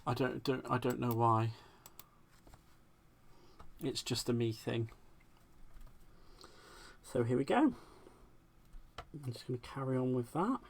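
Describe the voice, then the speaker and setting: A man's voice: medium pitch, slow pace, creaky, pauses often, a little hiss or hum. A man with a West Country accent chats casually and laughs often while he works on a craft project during a livestream. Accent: West Country English. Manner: chatty, self-deprecating, laughs often. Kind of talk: casual live-stream chat while crafting.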